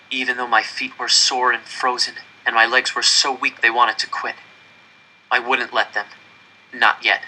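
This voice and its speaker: A man narrates a story aloud with a very bright, forceful voice at a medium pitch. His delivery is earnest and suspenseful, shaped as dramatic first-person narration. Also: slight background noise, low-quality recording. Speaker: audiobook-style reader.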